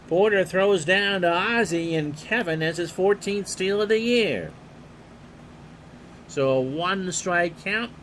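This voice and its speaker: A man calling a replayed baseball game play-by-play in a calm, unhurried way, reading player stats and chatting with viewers. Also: sits high, slight background noise. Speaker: play-by-play announcer and streamer.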